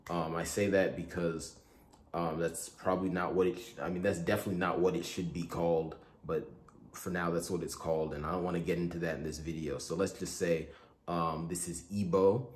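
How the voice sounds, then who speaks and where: A young man from Igboland hosting a casual spoken tutorial, talking in a relaxed, rambling way with many fillers and self-corrections. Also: deep voice, fairly quick, a little hiss or hum. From Igboland, Nigeria. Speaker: spiritual tutorial host.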